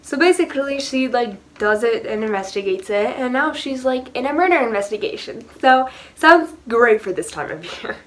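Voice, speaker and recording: A woman's voice: on the high side, lively intonation, normal speed, hardly any pauses, fairly bright tone. Rambling teenage girl book vlogger. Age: teens. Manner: rambling, filler-heavy, giggly. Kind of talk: casual chat to camera.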